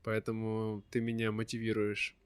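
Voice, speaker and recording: Man hosting a slow interview. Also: lowish voice, completely flat delivery, clear, resonant tone, no echo. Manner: friendly, asks simple follow-up questions. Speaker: host. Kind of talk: slow conversational interview for learners.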